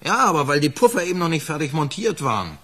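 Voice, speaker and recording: An actor playing a defensive working-class lift fitter in a radio crime play, talking colloquially and haltingly. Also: male voice, fairly high pitch, very animated, pushed and bright, husky, exaggerated voice. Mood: agitated, defensive. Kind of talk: naturalistic German radio drama acting.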